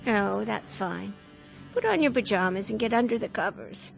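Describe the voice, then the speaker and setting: An actress playing a mild, dutiful and concerned wife in a radio drama. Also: female voice, mid-range voice, animated, normal speed, creaky. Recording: radio play with music underneath.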